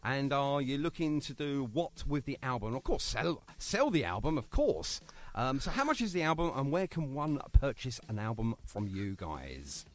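Man, radio host, chatty, joking, animated pitch. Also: moderate pace. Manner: chatty, jokey, rambling with many fillers. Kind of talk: casual radio interview. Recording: phone interview on online radio.

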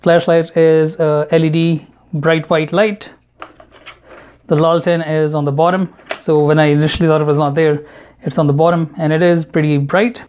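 A man narrating an unboxing and product review in a measured, matter-of-fact way. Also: a little high, flat delivery, darkish tone. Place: indoor room at home, at a table. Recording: home recording with clear background noise. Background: noise, handling clicks.

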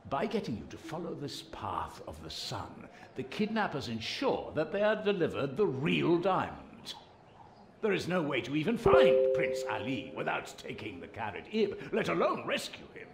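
An actor playing a crafty, stern royal spymaster in a video game, speaking formally and deliberately. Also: male voice, fairly high pitch, wildly swooping pitch, creaky, echoey room, full character voice. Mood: grave, insistent. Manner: formal, deliberate, measured diction. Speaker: spymaster character.

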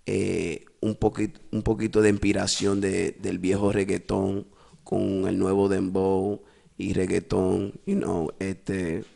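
A man's voice: sits low, flat delivery, unhurried, vocal fry, warm tone. A young man from Brooklyn, a musician guest talking casually in a small podcast studio, slipping between English and Spanish and often saying "you know what I mean.". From East New York, Brooklyn. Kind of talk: casual interview talk. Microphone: studio mic with headphones.

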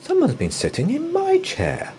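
A man voicing a calm, motherly mother bear in a storybook read-aloud. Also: very high voice, moderate pace, slight background noise, voice pushed up, full character voice.

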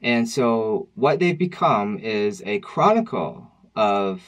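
A man's voice: fairly low pitch, sing-song, takes it slowly. Reflective, wry man in his thirties. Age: 30s. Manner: reflective, wry, conversational with frequent restarts. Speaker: vlogger. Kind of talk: personal vlog monologue. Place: dim room at home, indoors.

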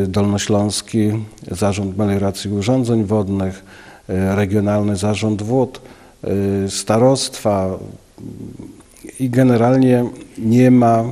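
A man in his fifties, a local official giving a news interview in an office, speaking haltingly with many filler sounds into a handheld mic. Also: fairly low pitch, dark tone. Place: office, indoors. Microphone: handheld station mic.

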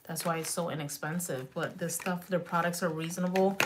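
A woman in her thirties chatting loosely on a beauty livestream, swearing freely, dropping in Spanish words, her slightly raspy voice going in and out. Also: on the low side, steady tone, a little fast, background noise. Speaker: beauty vlogger. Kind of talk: rambling casual livestream chat. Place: small home beauty room, indoors.

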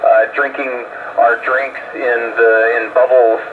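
A man's voice: medium pitch, level tone, a little slow. A man explaining things conversationally in unscripted answers as an astronaut, speaking over an amateur radio link. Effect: radio transmission. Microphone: radio transceiver microphone. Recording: muffled, noisy ham radio link.

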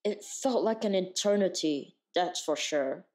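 A woman playing a gentle, hesitant pink creature in a cartoon comic dub, speaking tentatively. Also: on the low side, unhurried, lightly acted. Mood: awkwardly gentle. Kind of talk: animated comic dub acting.

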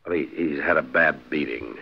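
An actor playing a steady, authoritative American frontier marshal in a 1950s radio western. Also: male voice, normal speed, slight background noise. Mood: earnest, persuasive. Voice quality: very deep, husky. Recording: muffled 1950s radio recording.

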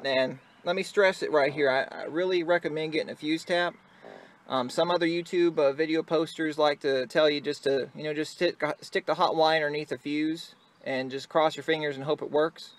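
A man's voice: fairly high pitch, fairly even intonation, moderate pace. A man giving a casual, improvised how-to in a plain-spoken way, busy with his hands as he talks, recorded on a handheld device in a truck. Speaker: amateur video maker giving a how-to. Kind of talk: casual improvised tutorial talk. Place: in and around a pickup truck cab. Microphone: handheld phone or camera close to the work. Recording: noisy handheld recording, vehicle ambience.

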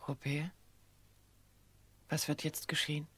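An actress playing an anxious grown daughter under suspicion in a radio drama, speaking frankly and insistently. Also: female voice, deep voice, level tone, takes it slowly, a bit bright, breathy edge, heavily acted. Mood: worried.

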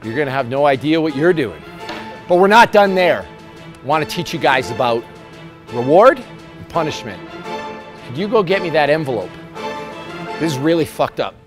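American man coaching a gym group, higher-pitched voice. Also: very animated, moderate pace, pauses often, music in the background. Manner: emphatic, informal. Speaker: gym instructor leading a challenge. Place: large gym hall with onlookers.

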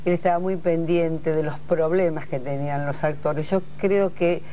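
A man's voice: fairly high pitch, steady tone, moderate pace, dark tone, a little hiss or hum. A man speaking emphatically in a studio panel discussion, piling up points. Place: television studio.

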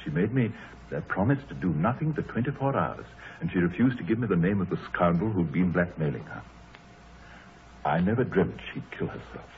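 Deep-voiced, troubled country gentleman. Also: lively intonation, fairly quick. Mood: anguished. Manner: grave, hesitant, formal. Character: troubled, anxious English country gentleman confiding in a friend. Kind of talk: 1930s radio drama acting. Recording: muffled, noisy 1930s radio recording.

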